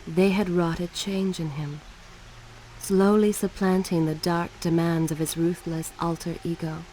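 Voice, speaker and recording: A solemn female storyteller voiced by an actress narrating a video game tale. Her voice is fairly low, soft-edged and wistful, with noise behind it. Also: steady tone, unhurried, lightly acted, rough recording.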